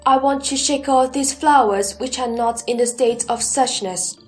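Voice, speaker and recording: Woman as a buddhist monk disciple, high formal voice, soft music behind. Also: moderate pace, natural voice. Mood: troubled. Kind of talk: audio drama sutra recitation.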